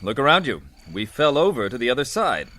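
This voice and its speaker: A man acting a calm, commanding adventure hero in a radio serial, speaking in measured, precise phrases. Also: mid-range voice, slight background noise. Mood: composed, watchful. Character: calm, commanding heroic male adventurer and leader.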